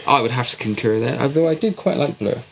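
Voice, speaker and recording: A man's voice: medium pitch, fast talker, vocal fry, slightly rough. A man with a West Country accent co-hosting a casual podcast, bantering dryly. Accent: West Country English. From West Country of England. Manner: dry, jokey banter. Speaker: podcast co-host. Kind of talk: casual chat. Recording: lo-fi home recording with hum. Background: faint hum.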